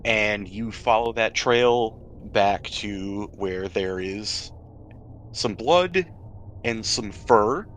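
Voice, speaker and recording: A man's voice: lowish voice, extremely slow, a bit bright, a little hiss or hum. A man narrating a tabletop role-playing game as game master, describing scenes with casual asides and small hesitations.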